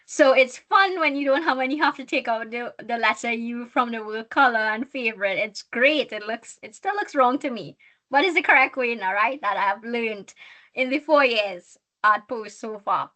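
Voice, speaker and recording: A woman's voice: a little high, lively intonation, normal speed, bright, forceful tone. A young woman with a Trinidadian accent, speaking earnestly as a guest student in an online workshop interview, often restarting her phrases. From Trinidad and Tobago.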